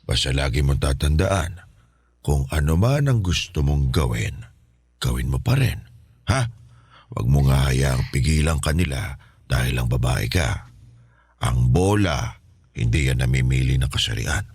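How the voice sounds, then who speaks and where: Very deep, husky old grandfather. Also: lively intonation, a little mellow, dry recording, studio quality, full character voice. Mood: reassuring, encouraging. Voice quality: husky, aged. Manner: folksy, reassuring, drawn-out interjections. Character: kindly, encouraging old grandfather. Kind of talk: Filipino radio drama acting.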